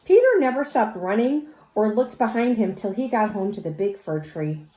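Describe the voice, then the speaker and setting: A woman reads a children's story aloud in an expressive, teacherly way, speaking as if to young listeners. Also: medium pitch. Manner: teacherly, pauses to question and comment. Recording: roomy, echoey sound.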